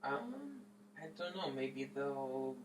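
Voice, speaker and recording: A woman's voice: rumbling low, slow, low-quality recording. A woman answering questions as a student, in simple, tentative phrases. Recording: clear background noise.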